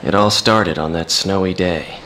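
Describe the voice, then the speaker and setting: A man's voice: low voice, fairly even intonation, close to an everyday voice. A man playing a reflective veteran fighter pilot who recalls a war, as if interviewed, in a video game. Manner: slow, reflective. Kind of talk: scripted interview reminiscence. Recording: loud background noise under the voice.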